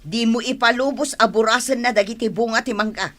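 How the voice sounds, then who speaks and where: Bright, indignant Ilocano aunt, played by a woman. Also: fairly high pitch, fairly even intonation, fairly quick, faint music behind, lightly acted. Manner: indignant, emphatic. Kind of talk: Ilocano radio drama acting.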